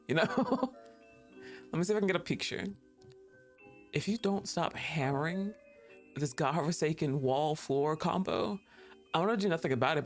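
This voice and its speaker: Streamer, casual livestream chat, rambling, a little tired, quiet background music. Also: medium pitch. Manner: laid-back, rambling, laughs often.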